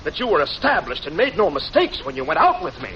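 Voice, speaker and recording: An actor playing an earnest, indignant, well-bred boyfriend in a 1940s radio comedy. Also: male voice, fairly high pitch, wildly swooping pitch, fast. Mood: aggrieved. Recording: muffled, noisy 1940s radio recording.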